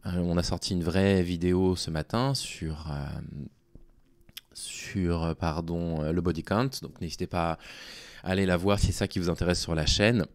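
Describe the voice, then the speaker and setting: A man speaking informally to his online audience in a halting, hesitant way, with a worn-out, small, tired voice. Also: deep voice, fairly even intonation, no echo, studio quality. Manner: halting, hesitant delivery with long pauses. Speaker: online video creator. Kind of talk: informal talking to audience, explaining personal situation.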